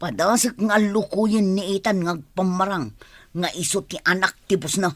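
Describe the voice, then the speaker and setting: A woman's voice: mid-range voice, fairly even intonation, normal speed, no echo, clean studio sound, natural voice. A woman acting a scheming, greedy younger woman who coaxes, in a radio comedy drama. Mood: sly, persuading.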